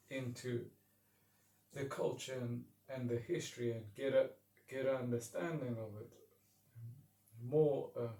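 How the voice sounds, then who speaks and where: A man from South Africa with a South African accent, an English teacher speaking slowly and thoughtfully to camera, often pausing and restarting. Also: medium pitch, creaky, a little mellow, far from the mic. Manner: slow and reflective, with restarts and pauses. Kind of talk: casual talk to camera. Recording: roomy sound, speaker away from the mic.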